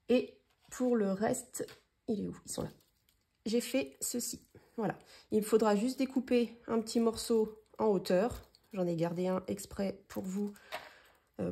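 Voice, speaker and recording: A woman's voice: mid-range voice, mellow, husky. Chatty Frenchwoman with a head cold. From France. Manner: chatty, rambling, lots of fillers. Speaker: crafting tutorial host. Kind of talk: casual hands-on craft tutorial.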